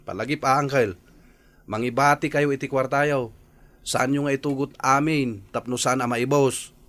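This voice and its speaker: An actor with a Filipino accent playing an earnest, worried nephew in a radio drama, pleading respectfully. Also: male voice, medium pitch, fairly even intonation, normal speed, a bit bright, close to an everyday voice. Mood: polite, reluctant. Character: earnest, worried married nephew, gently reproachful.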